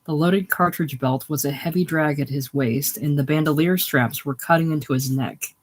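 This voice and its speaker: A man's voice: a little high, moderate pace. A man reading literary war fiction aloud as an audiobook narrator, now and then stumbling and rereading a phrase. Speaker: audiobook reader.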